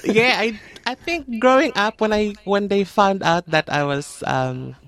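A woman's voice: mid-range voice, a little slow, fairly bright tone. A woman in her thirties from the Philippines, a hair and makeup artist, telling her story in a casual interview that switches between English and Tagalog with self-mocking laughs. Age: 30s. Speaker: guest, hairdresser and makeup artist.

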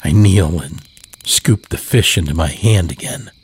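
A man narrating scripted horror fiction in a measured, deliberate way. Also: deep voice, slight background noise. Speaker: storyteller, narrator.

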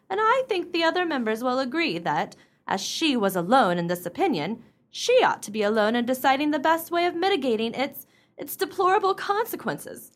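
A haughty society clubwoman of the radio play kind, acted by a woman. Her voice is high and swings widely in pitch as she delivers formal, self-righteous disapproval. Every phrase is pompous and proper. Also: fairly bright tone.

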